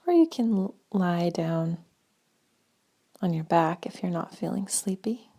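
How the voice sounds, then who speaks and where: Slow, creaky-voiced woman guiding meditation. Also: lowish voice, big pitch swings. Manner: slow, gentle, spaced-out phrasing with long pauses. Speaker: meditation guide. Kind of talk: guided meditation.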